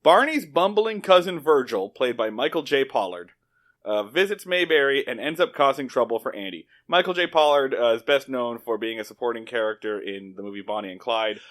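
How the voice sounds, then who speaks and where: A man with a mid-pitched voice co-hosts a podcast in a casual, comedic recap style. His intonation moves around a lot, and he repeats words for emphasis. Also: expressive, a little fast, fairly bright tone. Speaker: podcast co-host.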